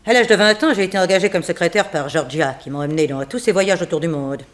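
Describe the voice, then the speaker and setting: An actress playing a composed, proper housekeeper of seventy in a French radio drama, matter-of-fact and formal. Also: female voice, fairly low pitch, fast talker, natural voice. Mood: composed, informative. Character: composed seventy-year-old female housekeeper, frank and proper.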